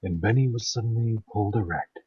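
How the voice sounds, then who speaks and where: Guy reading a story aloud, really raspy, bit halting, dull mic in a dry-less roomy space. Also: lowish voice, a little mellow. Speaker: narrator of online story readings. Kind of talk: amateur dramatic story narration.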